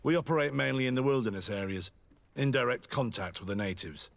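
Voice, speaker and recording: A man's voice: mid-range voice, fairly quick, gravelly, lightly acted. A man playing a dutiful, formal lieutenant of a frontier company in a video game. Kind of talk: video game dialogue acting.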